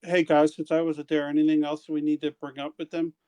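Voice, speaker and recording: A male player in a tabletop game, speaking with hesitation and backtracking as he works out what he wants. His voice is a little higher than most men's. The delivery is casual and conversational. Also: little pitch movement, brisk, warm tone, clean tone. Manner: hesitant, restating his point.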